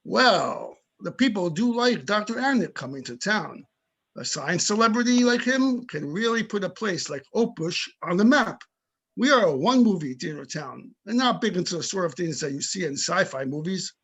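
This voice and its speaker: Man to play small-town older police chief for a play reading: tough but friendly, opinionated, husky and high for a man. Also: lively intonation, moderate pace, fairly bright tone, exaggerated voice. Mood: matter-of-fact. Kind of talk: amateur readers theater reading over videoconference.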